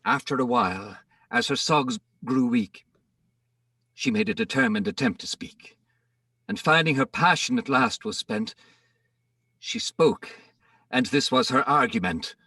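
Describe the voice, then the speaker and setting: A man's voice: on the high side, wildly swooping pitch, measured pace, vocal fry, pauses often, bright, forceful tone, no room sound. A man narrating comic verse in a theatrical, rhythmic storytelling manner, recorded at a distance from the microphone.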